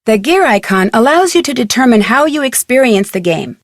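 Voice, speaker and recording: An upbeat teenage detective guiding players through a mystery game. Her voice is slightly high and recorded close and dry. She explains things in tidy, scripted steps. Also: very animated, fairly quick, no echo. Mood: helpful, instructive. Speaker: tutorial guide in a mystery game. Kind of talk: scripted instructional narration.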